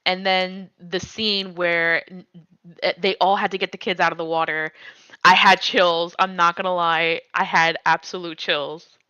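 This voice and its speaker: A woman's voice: on the low side, level tone, measured pace, pushed and bright, clear, resonant tone, no room sound, lo-fi sound. A woman talking casually and emphatically on a livestream. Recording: dry, slightly band-limited microphone.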